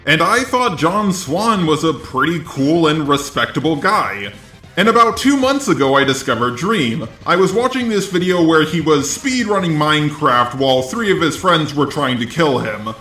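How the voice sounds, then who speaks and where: A man narrating scripted online commentary in a deliberate, sardonic manner. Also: on the high side, very animated, pushed and bright. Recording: roomy echo, faint music. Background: faint music.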